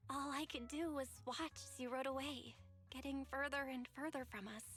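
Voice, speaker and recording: A woman's voice: high voice, fairly even intonation, moderate pace. An actress playing an emotional, worried young woman biker in a video game. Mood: earnest. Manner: dramatic, emotional. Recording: loud game music underneath.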